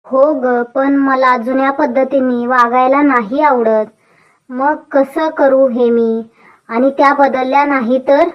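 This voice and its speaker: Woman acting a slow, high-voiced young daughter-in-law. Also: fairly even intonation, lo-fi sound. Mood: hesitant, willing. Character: earnest young city-bred daughter-in-law, contrite and willing. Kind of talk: animated moral story dubbing.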